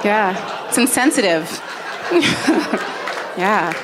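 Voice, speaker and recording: A woman's voice: mid-range voice, big pitch swings, very slow, a loud crowd, heavy background noise. A woman comedian performing a stand-up routine on stage, with a wry, conversational delivery.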